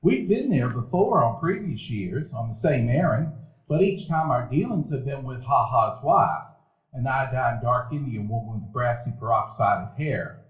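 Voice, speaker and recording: A man narrating a live stage radio play in a warm, reminiscing storytelling manner. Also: medium pitch, animated, a little slow. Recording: echoey room, live audience.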